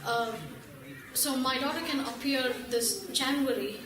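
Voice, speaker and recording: A woman's voice: high-pitched, unhurried. A mother in the audience asking hesitant questions about her daughter's schooling. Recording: echoey hall, distant mic, loud noise.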